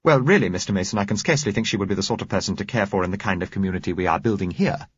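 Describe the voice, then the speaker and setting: A man voicing a stern, self-righteous woman community leader in an audiobook, with a sharp, clipped, sanctimonious manner. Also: fairly low pitch, very fast, slightly rough, dry recording, highs cut off. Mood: disapproving.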